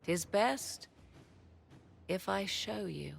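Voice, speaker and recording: A woman playing a mysterious, haughty sorceress in a fantasy video game, speaking in measured, archaic phrasing over background noise. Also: medium pitch, animated, gravelly, faint music behind, full character voice. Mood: lofty, cryptic.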